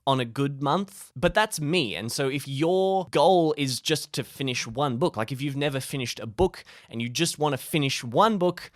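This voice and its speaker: A man's voice: medium pitch, animated, normal speed, few pauses, no echo, clean studio sound. A man talking casually to camera like a vlogger giving friendly tips, informal and jokey with a self-deprecating streak. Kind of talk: casual, chatty advice to camera.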